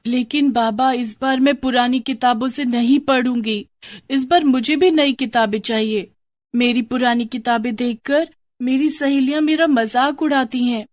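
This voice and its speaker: A woman's voice: sits high, moderate pace, no echo, voice pushed up, natural voice. A woman voicing a humble, earnest poor schoolgirl in a storybook tale, polite and plain-spoken. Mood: pleading, earnest. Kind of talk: storybook character dialogue.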